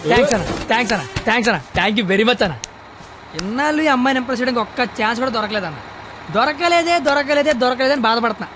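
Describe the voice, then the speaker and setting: Cheeky young male, fast cocky banter, film comedy, music behind. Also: very high-pitched, expressive. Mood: excited, boastful. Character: cheeky, boastful young man defending a girl.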